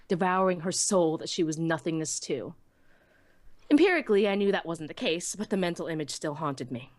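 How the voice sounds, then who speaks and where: Woman as young female pony narrator, lowish voice, anxious, earnest, expressive dramatic story reading, dry close sound. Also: dry recording, lightly acted. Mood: troubled, wondering, then anxious, resigned. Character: small young female pony technician, anxious lonely first-person narrator.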